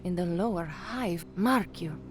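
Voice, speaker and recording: A woman's voice: fairly low pitch, unhurried, slight background noise, close to an everyday voice. An actress playing an anxious, frank young working-class woman, a trainee newsreader, in an audio drama with first-person narration. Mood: wry narration.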